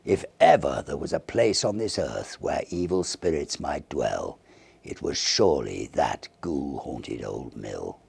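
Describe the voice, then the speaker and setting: A man reading a Victorian ghost story as an audiobook narrator, theatrical and expressive, with deliberate phrasing. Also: very deep voice, creaky, husky, no room sound.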